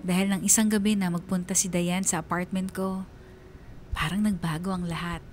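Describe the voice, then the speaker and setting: A woman's voice: on the low side, level tone, moderate pace, darkish tone, lightly acted. A woman playing an earnest young woman who narrates her own story in a scripted radio drama. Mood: wistful. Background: faint music.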